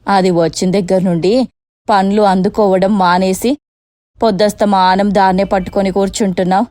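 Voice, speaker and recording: A woman voicing a suspicious, bossy middle-aged mother-in-law in a cartoon moral story, with colloquial, sharp-tongued speech. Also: medium pitch, flat delivery, fairly quick, no room sound, clean studio sound, lightly acted. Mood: scolding.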